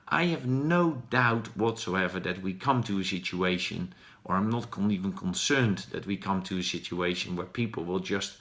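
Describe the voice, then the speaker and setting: A man's voice: fairly low pitch, vocal fry, fairly bright tone. A man in his forties from the Netherlands with a Dutch accent, talking earnestly and encouragingly on a daily live stream, with frequent ums and uhs. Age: 40s. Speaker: vlogger.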